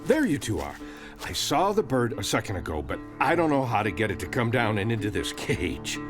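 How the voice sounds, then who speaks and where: An actor playing a good-natured, slightly bumbling forest ranger, hesitant and comically expressive, in an audio adventure drama. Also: male voice, fairly low pitch, fairly quick, music in the background. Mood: helpful.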